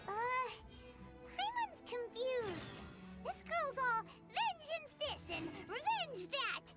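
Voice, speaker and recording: A squeaky little fairy sidekick. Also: female voice, very animated, slow, heavily acted. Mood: chatty. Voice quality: squeaky, childlike. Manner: speaks of herself in the third person. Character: tiny floating fairy companion girl, chirpy and blunt. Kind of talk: video game dialogue. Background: game music.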